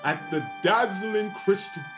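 Woman as slow-spoken royal aide. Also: medium pitch, music in the background, lightly acted. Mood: grand. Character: grandly announcing, reluctant royal aide.

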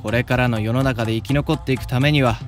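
An actor playing a confident, kindly senior samurai student with a Yamaguchi accent and old-fashioned turns of speech, in a voice drama. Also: male voice, mid-range voice, fairly even intonation, fast, soft background music, close to an everyday voice. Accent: Yamaguchi (Choshu). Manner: old-fashioned, breezy authority. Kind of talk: anime-style voice drama.

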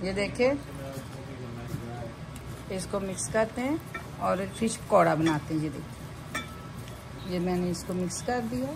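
A woman's voice: fairly low pitch, a little slow, creaky, pauses often, music in the background. A woman giving a casual step-by-step cooking demonstration in a home kitchen, often repeating her phrases as she shows each step. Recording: noisy home kitchen recording.

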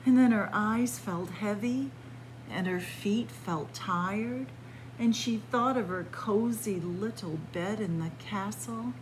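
A woman reading a picture book aloud as a library story time host, expressive and pausing deliberately between phrases. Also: medium pitch, a little hiss or hum, rough recording. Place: indoors in a library, among bookshelves.

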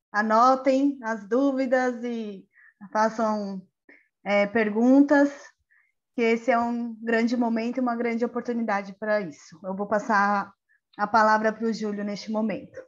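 A woman in her thirties hosting and introducing an online class, speaking politely and pausing to find her words, over a video call from a small room at home. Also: fairly high pitch, muffled recording. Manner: warm, polite and searching for words. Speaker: event host. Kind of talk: welcoming introduction. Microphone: webcam on video call.

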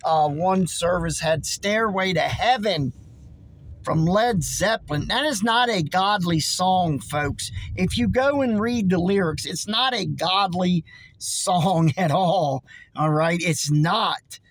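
A man in his forties, hoarse from losing his voice, preaching earnestly and emphatically to a phone camera from inside his car. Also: high-pitched, slow. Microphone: phone held close.

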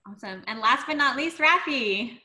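A woman's voice: high-pitched, animated, normal speed, sharp tone. A young woman hosting a video-call Q&A panel, upbeat, with frequent fillers. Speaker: webinar host.

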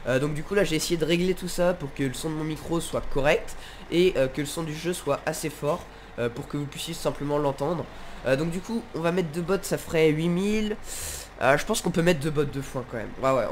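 Congested, high-pitched man gaming. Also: fairly quick, a little hiss or hum. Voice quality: congested, nasal. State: nasal with a head cold. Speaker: gaming video host. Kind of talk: casual let's play commentary.

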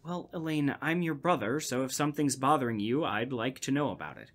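An actor playing a skeptical but caring brother in a radio drama. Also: male voice, mid-range voice, lively intonation, fairly quick. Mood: skeptical concern. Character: Brian, skeptical but caring older-sibling type brother.

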